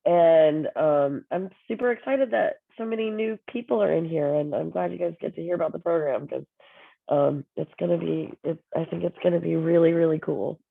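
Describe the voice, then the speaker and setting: A woman's voice: sits low, normal speed, creaky, no room sound. Stuffed-up woman on a tinny call. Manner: chatty, apologetic, casual. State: stuffed up from a cold. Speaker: anthropology professor. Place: video call, camera off.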